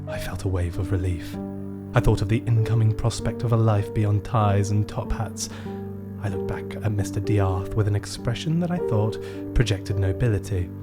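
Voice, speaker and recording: An actor playing a lonely, gloomy shop owner who narrates his tale in a measured, melancholy, theatrical way for a radio play. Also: lowish voice, close to an everyday voice. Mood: fearful, resigned. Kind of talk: scripted first-person radio play narration. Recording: music bed behind the voice.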